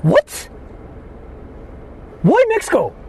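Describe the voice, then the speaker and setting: A young Japanese man speaks English with a Japanese accent, casually and excitably, swearing freely as he chats with his viewers. His voice sits high and leaps all over the place. Loud street traffic runs behind him. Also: extremely slow. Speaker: streamer. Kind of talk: casual live-stream chat. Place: outdoors on city streets.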